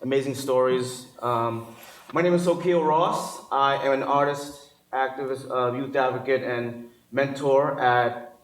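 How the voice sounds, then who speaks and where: A man on a panel telling his personal story in an earnest, conversational way. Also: mid-range voice. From Cambodia. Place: large hall. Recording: echoey hall from far off.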